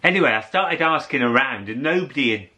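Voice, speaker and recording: A man tells a story aloud with real theatre. His voice is bright and ranges widely in pitch, and he draws words out with dramatic pauses, addressing his listeners directly. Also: animated. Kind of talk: animated oral storytelling to an audience.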